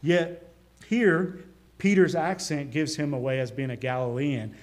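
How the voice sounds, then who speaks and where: A male pastor with a Southern US accent preaching a sermon, earnest, swelling into emphasis and dropping quiet. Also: a little high, a little slow. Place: church.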